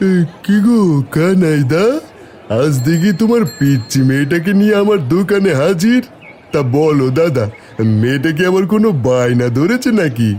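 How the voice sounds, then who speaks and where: A man's voice: on the high side, lively intonation, moderate pace, a little mellow, slight background noise, lightly acted. A man voicing a friendly, easygoing village man in a cartoon story, chatting in a familiar, eager way. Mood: jovial, obliging.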